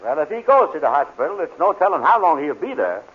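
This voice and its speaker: An actor playing a gullible, slow-witted, put-upon man with a baffled manner in 1940s radio comedy. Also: on the high side, big pitch swings, slightly rough. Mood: advising. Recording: tinny, hissy old radio recording.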